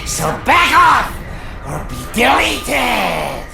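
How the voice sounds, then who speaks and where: An actor playing a menacing, boastful robotic villain in a video game, speaking in a deliberate, threatening way. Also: male voice, very high voice, wildly swooping pitch, creaky, shouting, noisy background, exaggerated voice. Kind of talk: video game cutscene acting. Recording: echoey, music behind.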